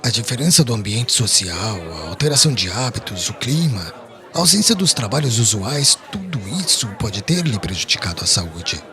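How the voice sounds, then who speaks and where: A man acts out a respectable older merchant in an audiobook drama, worried for his brother and given to long, formal speeches. His voice sits on the low side and moves in lively, expressive swings. Music plays underneath. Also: moderate pace. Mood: concerned. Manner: earnest, formal, loquacious.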